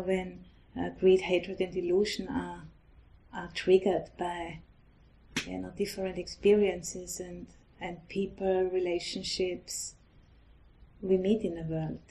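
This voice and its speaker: Austrian woman, middle-aged nun, accented English, warm flat delivery, hesitant dharma talk. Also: on the low side, low-quality recording. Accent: Austrian German. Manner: gentle, hesitant, halting with restarts.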